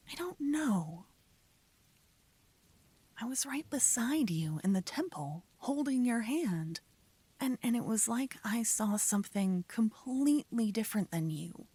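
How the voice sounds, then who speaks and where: A woman voicing a lovestruck young female mage in a soft, breathy, often whispered voice, tenderly coaxing her lover in a romantic ASMR roleplay. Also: on the high side, expressive, takes it slowly, pauses often, dry recording, full character voice.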